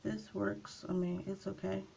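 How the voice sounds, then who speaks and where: A woman chatting casually through a makeup tutorial, her voice slightly raspy from a coming cold, unhurried and trailing off with small pauses. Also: medium pitch, level tone, dark tone, a little hiss or hum. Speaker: beauty vlogger.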